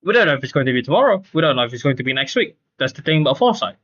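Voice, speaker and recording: A man's voice: on the high side, sing-song, very fast, a bit bright, lo-fi sound. A man streaming a game with casual live commentary, explaining his points emphatically and repeating himself.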